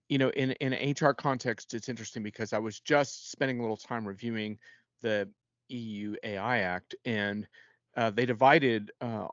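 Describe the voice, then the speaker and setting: A man's voice: lowish voice, fairly bright tone, no room sound, lo-fi sound. A man speaking as a guest analyst in an unscripted panel discussion, in a conversational way with frequent self-corrections.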